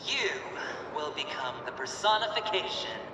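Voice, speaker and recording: An actor voicing a flamboyant, menacing young gambler in a video game, taunting like a showman with theatrical, drawn-out delivery. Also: fairly high pitch, big pitch swings. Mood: gleeful menace. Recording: echoey hall, distant, noisy game backdrop.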